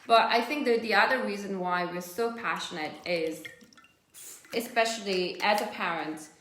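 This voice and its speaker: A woman's voice: mid-range voice, moderate pace, fairly bright tone, slight background noise. A woman in her thirties from South Korea with a Korean accent, chatting casually and telling stories in English, which is not her first language. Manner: chatty, storytelling, loose non-native grammar. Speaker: host of a live stream. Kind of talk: casual chat. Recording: echoey room.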